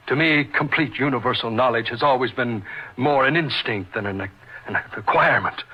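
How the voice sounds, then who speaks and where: An actor playing a brilliant, lonely and superior young surgeon in old-time radio horror style, speaking gravely and deliberately. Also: male voice, medium pitch, sharp tone, exaggerated voice. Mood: lonely, anguished. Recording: muffled radio drama with background hiss.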